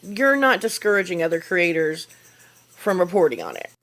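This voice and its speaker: An American woman with a slightly low voice delivers opinion commentary. She narrates in the style of an online video creator. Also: very animated, moderate pace, fairly bright tone, poor audio.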